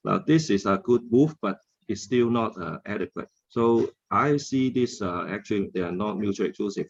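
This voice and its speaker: A man with a Hong Kong accent speaking off the cuff as a panelist, halting often and repeating words. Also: lowish voice, gravelly, highs cut off. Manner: halting, frequent hesitations and word repeats. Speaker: symposium panelist. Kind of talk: unscripted panel discussion.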